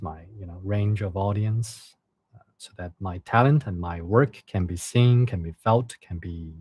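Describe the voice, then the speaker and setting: Halting man. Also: on the low side, dark tone, slightly rough, no room sound. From China. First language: Chinese. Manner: halting, repeats words and restarts. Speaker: livestream host and reader. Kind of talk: casual livestream chat and reading a novel aloud.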